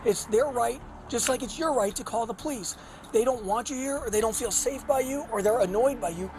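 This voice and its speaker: Hoarse, patient officer on a street call, fast delivery, busy bodycam sound. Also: mid-range voice. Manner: calm, patient, keeps trying to be heard. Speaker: police officer. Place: outdoors on a street. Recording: bodycam audio with loud street noise.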